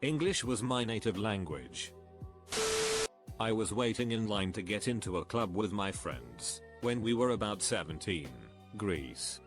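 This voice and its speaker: Low-voiced man narrating stories. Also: lively intonation, a little slow. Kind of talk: scripted story narration. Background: faint background music.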